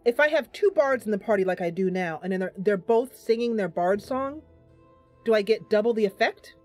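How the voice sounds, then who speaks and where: Lady streaming a game, chatting to viewers and thinking out loud, normal pitch, bit of music behind. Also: animated, a little fast. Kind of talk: casual live gameplay commentary.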